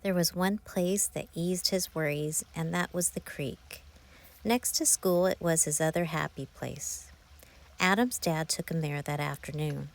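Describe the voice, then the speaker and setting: A woman narrating a children's picture book, reading aloud in simple, clear phrases for young listeners. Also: sits low, fairly even intonation, a little slow. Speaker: author narrating her own children's book. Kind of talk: scripted children's storybook narration.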